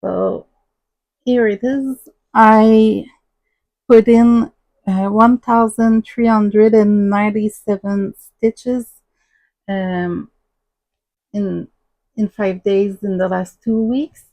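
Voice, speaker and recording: A woman with a Québécois French accent, hosting a casual crafting vlog and talking in a halting way with many ums. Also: mid-range voice, steady tone. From Quebec, Canada. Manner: halting, many ums and uhs, soft-spoken. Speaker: crafting channel host.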